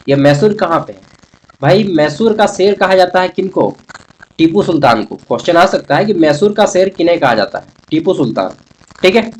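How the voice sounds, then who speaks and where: A man's voice: high voice, low-quality recording. A man giving an instructional exam-prep lecture, explaining point by point with frequent check-in tags. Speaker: online teacher.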